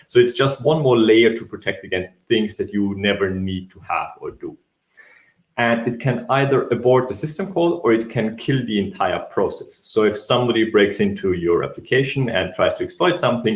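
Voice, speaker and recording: A young man from Austria with an Austrian accent, giving a live technical talk with frequent ums and self-corrections. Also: on the low side. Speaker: tech speaker. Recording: echoey room.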